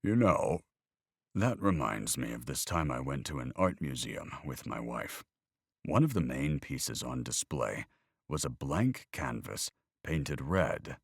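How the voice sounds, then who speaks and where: A man reading an audiobook, voicing a weary older man who talks in a measured, reflective way. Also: rumbling low, expressive, creaky, husky, no room sound, clean studio sound, exaggerated voice. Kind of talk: audiobook character voice.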